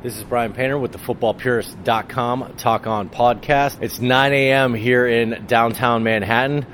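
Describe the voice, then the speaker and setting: A man's voice: medium pitch, steady tone, normal speed, fairly bright tone, slight background noise. A man interviewing football fans in a bar for a podcast, with short friendly questions. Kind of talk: field interview. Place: bar in a city.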